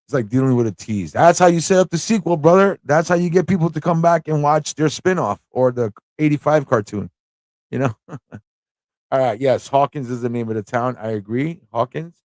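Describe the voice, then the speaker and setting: A man's voice: medium pitch, expressive, fairly quick, darkish tone, dry recording. Casual man hosting, close dry sound. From United States. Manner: conversational, reads questions aloud and repeats them. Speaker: livestream host running a trivia quiz. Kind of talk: casual live quiz hosting, chatting with viewers.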